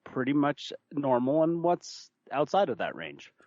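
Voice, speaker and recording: A man talking casually as a podcast host, thinking aloud in a halting, conversational way. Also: a little high, very animated, a little mellow, dry recording. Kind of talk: casual chat. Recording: slightly dull, dry recording.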